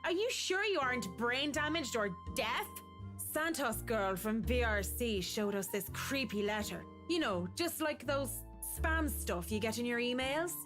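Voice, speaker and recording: A woman's voice: a little high, expressive, a little slow. A woman voicing a brash, hard-drinking young woman who talks crude and teasing. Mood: scornful. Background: game music.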